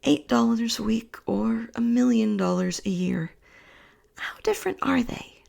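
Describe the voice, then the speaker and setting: Slow, gravelly whispering woman storyteller. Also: on the high side. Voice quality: breathy whisper. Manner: soft whisper, slow and soothing. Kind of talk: whispered bedtime story reading.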